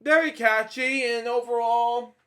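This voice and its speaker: Man, high voice, reading a children's book, stumbling restarts, chatty asides. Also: steady tone, fairly bright tone. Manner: halting, with frequent restarts and filler comments. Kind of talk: storybook reading with rambling asides.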